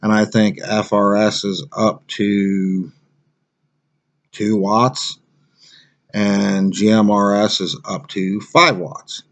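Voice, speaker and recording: Male, American, fairly low pitch; plain-spoken survival instructor doing a loose, unscripted gear review. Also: little pitch movement, slow, fairly bright tone. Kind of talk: casual unscripted product review.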